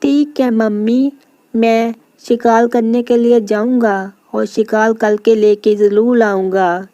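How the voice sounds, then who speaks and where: A woman's voice: on the high side, steady tone, slow pace, dark tone, no echo. Woman voicing an eager, timid young lion cub. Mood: willing. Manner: earnest, eager to please.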